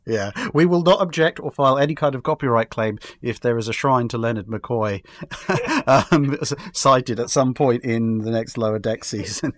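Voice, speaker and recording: A man's voice: medium pitch, normal speed, no echo. A man chatting casually as a guest on a fan discussion show, building long, jokey speculative explanations with stumbling starts.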